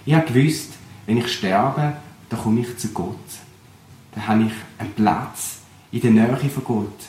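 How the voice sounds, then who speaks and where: A young man from Switzerland, a pastor with a Thurgau Swiss German accent, giving an earnest, reflective personal testimony at a measured pace. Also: medium pitch, darkish tone. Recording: roomy recording with faint background noise.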